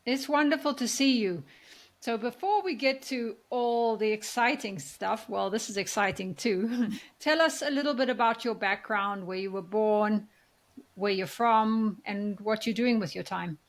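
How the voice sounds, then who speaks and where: A woman's voice: a little high, unhurried. A woman hosting an interview podcast, welcoming her guest and asking encouraging questions. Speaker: podcast host.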